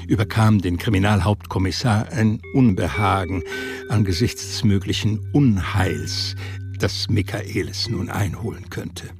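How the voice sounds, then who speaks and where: A man reading scripted literary narration for a radio drama in a measured, reflective way. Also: on the low side, gravelly. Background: music under the voice.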